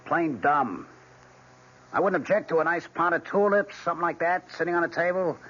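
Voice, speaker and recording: An actor playing a brash, impatient husband, blunt, scornful and domineering, in an old-time radio drama. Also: male voice, fairly high pitch, fairly quick, a bit bright. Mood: contemptuous. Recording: muffled, hissy vintage radio recording.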